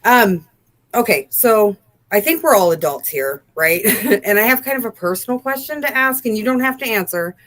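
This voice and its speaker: A woman's voice: a little high, animated, normal speed, a bit bright. A woman in her thirties asking questions over a video call from home, in a friendly, curious and giggly way. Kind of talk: casual video-call interview. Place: home room.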